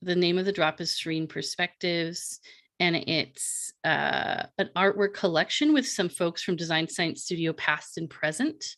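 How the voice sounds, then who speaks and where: A woman presenting her art projects in a relaxed online panel talk, with many fillers and restarts, on a video call mic. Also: fairly low pitch, a little slow. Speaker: artist and presenter.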